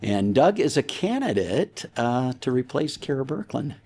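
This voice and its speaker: A man's voice: mid-range voice, big pitch swings, darkish tone, husky. A man hosting a podcast in a casual interview style, often repeating words as he feels his way into a question. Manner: halting, repeats words while forming questions. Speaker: podcast host.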